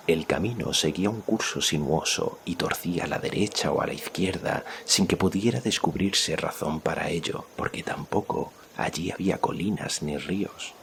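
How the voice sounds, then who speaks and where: A man narrating a scripted fantasy story as an audiobook, with a measured, suspenseful delivery and a gravelly voice. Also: extremely low pitch, noisy background. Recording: music behind the voice.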